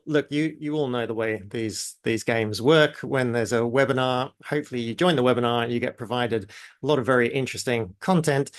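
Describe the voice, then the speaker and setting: A man from New Zealand with a mixed New Zealand and British accent, presenting a live online masterclass in a chatty way, often pausing on fillers and speaking to the audience in the chat. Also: medium pitch, dry recording, clean studio sound. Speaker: webinar presenter.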